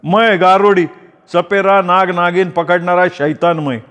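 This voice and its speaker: Menacing male snake-catcher played by a man, high, mocking, slow, with long hall reverb. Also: flat delivery, fairly bright tone, highs cut off. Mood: taunting. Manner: taunting, slow and drawn-out.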